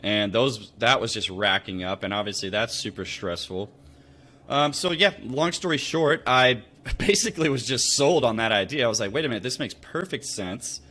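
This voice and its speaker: A man's voice: mid-range voice, animated, moderate pace, bright, forceful tone. An American man speaking in a casual, conversational storytelling style as a podcast guest. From Louisville, Kentucky, United States. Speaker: podcast guest, real estate investor.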